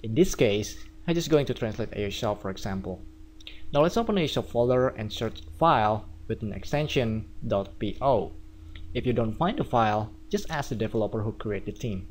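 A man from Indonesia with an Indonesian accent, walking viewers through a software tutorial step by step. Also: fairly low pitch, normal speed, slight background noise. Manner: steady step-by-step explaining. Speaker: theme developer. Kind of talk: screencast tutorial.

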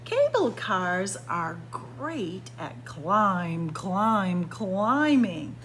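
Female picture-book reader for small kids: very unhurried, swooping sing-song delivery, stressed key words, noisy indoor recording. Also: mid-range voice, big pitch swings, fairly bright tone. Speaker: children's librarian and storyteller. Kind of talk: picture book read-aloud for young children. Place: library room with bookshelves, indoors.

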